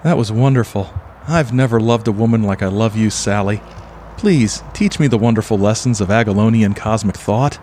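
A man's voice: on the low side, moderate pace, a little mellow, a little hiss or hum, close to an everyday voice. A man playing an earnest, naive, slightly hesitant motel UFO believer in an audio play. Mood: smitten. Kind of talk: audio play acting and first-person narration.

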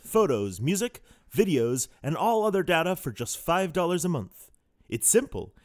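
A man's voice: fairly high pitch, dry recording, clean studio sound. A man reading scripted announcements and sponsor messages as a podcast announcer.

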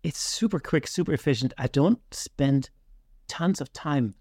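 A man's voice: a little high, animated, a little slow, a little mellow, no room sound, clean studio sound. A man hosting a podcast, explaining his process in a casual, methodical, step-by-step way. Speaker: podcast host.

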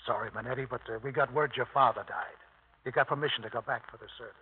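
Fast-talking prison guard. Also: male voice, medium pitch, breathy edge. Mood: businesslike. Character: brisk, matter-of-fact prison guard. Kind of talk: 1950s radio drama acting. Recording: narrow-band vintage radio recording.

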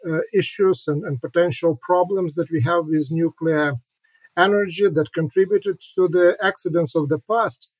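A middle-aged man from Ukraine, a historian and author, answering interview questions haltingly, with many repeats and fillers. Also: sits high, breathy edge, no echo, low-quality recording.

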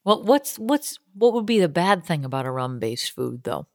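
A woman's voice: fairly low pitch, very animated, normal speed, no echo, clean studio sound. A young woman co-hosting a podcast from a basement lounge, chatty and joking, laughing often. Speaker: podcast co-host. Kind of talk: casual chat. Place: home basement tiki lounge.

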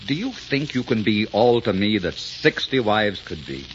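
An actor playing a passionate, brooding sheik with a temper in a 1940s radio romance, murmuring theatrically. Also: male voice, on the low side, very animated, moderate pace. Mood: teasing. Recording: muffled 1940s radio recording, music, hiss.

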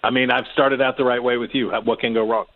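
A man's voice: medium pitch, flat delivery, quick pace. Male guest pundit, wry and analytical, phone-line audio. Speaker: political commentator guest. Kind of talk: political analysis.

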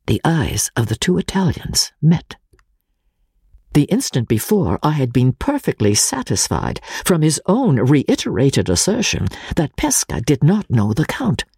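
Theatrical woman narrator, hugely varied pitch. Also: vocal fry, a little mellow, slightly rough, no echo, clean studio sound. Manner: theatrical, suspenseful. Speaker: audiobook narrator. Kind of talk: dramatic scripted narration of classic fiction.

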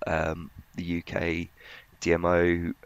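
Very deep, creaky man, flat delivery. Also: slow pace, no room sound. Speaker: financial journalist. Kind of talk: conversational market analysis.

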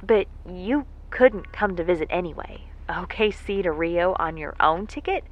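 A woman's voice: on the low side, expressive, moderate pace, lo-fi sound, lightly acted. A woman playing a folksy, lovesick moonbase worker who rambles with wry self-deprecation in an audio drama monologue. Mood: wistful, rueful. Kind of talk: audio drama monologue, a spoken letter home. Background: faint music.

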